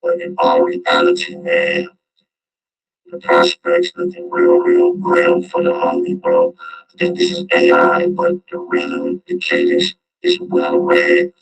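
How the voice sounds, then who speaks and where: A man's voice: extremely high pitch, wildly swooping pitch, vocal fry, music in the background, low-quality recording. A man giving casual, slangy reaction commentary in a loose street manner, as a vlog host. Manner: slangy, drawn-out street talk.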